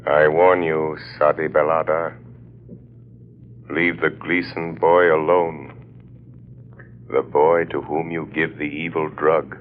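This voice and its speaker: An actor playing an ominous, mocking invisible avenger in a 1930s radio drama, his voice slow and filtered. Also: very deep voice, flat delivery. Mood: threatening. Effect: telephone-like filter. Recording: thin filtered old radio, noise, hum.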